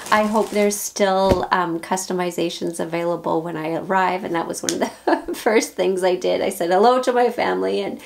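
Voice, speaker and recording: A woman in her forties from Canada, hoarse from the flu, chatting casually and upbeat to the camera in her beauty room, with frequent ums. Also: fairly low pitch, slight background noise. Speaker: beauty vlogger. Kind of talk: casual unboxing chat to camera. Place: home beauty room with shelves behind. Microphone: camera at desk distance, head and shoulders framing.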